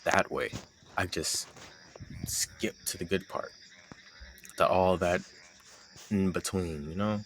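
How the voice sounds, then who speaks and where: A man playing a shy, teasing young man secretly in love with his friend, in an intimate audio roleplay with a halting delivery. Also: low-pitched, a bit bright, slight background noise, full character voice, poor audio. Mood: wistful, musing.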